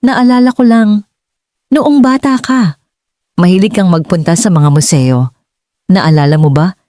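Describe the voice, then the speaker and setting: A woman with a Filipino accent voicing an earnest middle-aged businessman father in an audiobook, speaking haltingly to his son. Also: fairly low pitch, warm tone, dry recording, studio quality, lightly acted. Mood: tentative, nostalgic. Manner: halting, searching for words. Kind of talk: audiobook dialogue.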